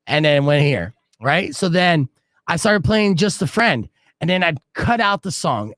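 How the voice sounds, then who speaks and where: Male radio DJ, pitch swings wide, dry studio sound, animated host type. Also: no echo. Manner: animated storyteller, jumps loud and high when excited. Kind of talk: casual radio show banter. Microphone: studio microphone.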